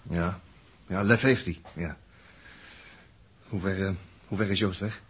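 An actor playing a bitter, scornful crewmate astronaut, curt and accusing, in 1960s radio drama style. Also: male voice, deep voice, steady tone, unhurried, breathy edge. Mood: grudging.

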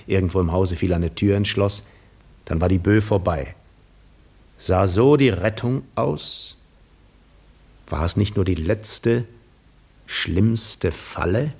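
An actor playing a weary, reflective Greek war hero in a 1950s radio drama, telling his own story in measured, literary, ironic phrases. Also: on the low side. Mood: pensive, then brooding.

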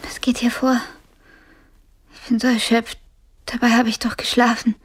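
A woman's voice: a little high, flat delivery, normal speed, slightly rough. A woman playing an exhausted, sensitive young blind musician in a radio drama, with a plaintive delivery. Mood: weary, shaken.

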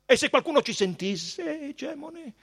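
A dignified high priest of Judea from a storybook, played by a man reading aloud. The voice is pitched high, well above an everyday male register. He is solemn and deliberate, with fervent defiance in his manner. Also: lively intonation, vocal fry, a bit bright, exaggerated voice. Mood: offended, dignified.

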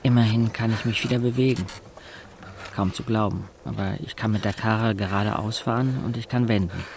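A man's voice: fairly low pitch, vocal fry, noisy background. An actor playing a wry, observant teenage boy who narrates an audio drama in a dry, laconic way.

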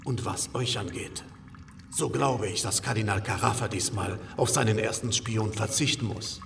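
An actor playing a proud, shrewd and wealthy merchant banker in a German historical radio drama, formal and pointed, courteous with an edge of menace. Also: male voice, lowish voice, moderate pace, vocal fry. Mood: cold, commanding. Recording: echoing hall, faint ambient noise.

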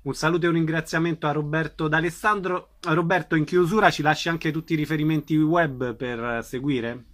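A man's voice: on the high side, fairly even intonation, a little fast, a bit bright. A man working as an interviewer, putting questions to a guest in a conversational broadcast style. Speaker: radio interviewer.

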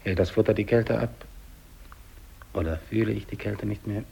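An actor playing a dying, despairing English polar explorer alone, brooding and halting, in a 1950s German radio drama monologue. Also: male voice, on the low side, slight background noise. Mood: bleak, brooding. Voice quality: gravelly, husky. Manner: halting, brooding, repeats words, hushed to declamatory.

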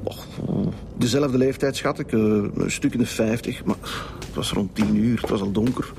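A man's voice: mid-range voice, moderate pace, natural voice. A man playing a hesitant, apologetic middle-aged witness with a Flemish accent, in naturalistic radio drama dialogue. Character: hesitant, apologetic male witness in his fifties. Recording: clear background ambience noise.

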